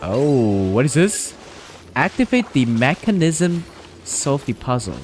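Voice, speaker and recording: A man's voice: mid-range voice, sing-song, takes it slowly, darkish tone, soft background music. A man streaming live gameplay, chatty and playful, talking to himself as he plays. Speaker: game streamer.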